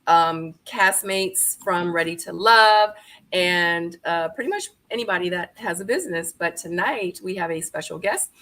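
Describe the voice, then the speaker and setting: A woman's voice: on the low side, moderate pace, sharp tone. A woman in her forties, born in Korea, hosting a casual online interview show, chatty and upbeat, talking straight to her viewers. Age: 40s. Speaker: YouTube interview host.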